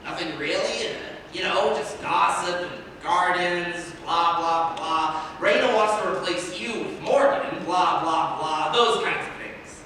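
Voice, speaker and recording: A woman's voice: fairly low pitch, a little slow, hardly any pauses, a bit bright. A woman playing a nervous, fawning, gossipy male servant with hesitant comic delivery in a staged play reading. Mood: breezy gossipy. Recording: echoey hall from far off, faint noise.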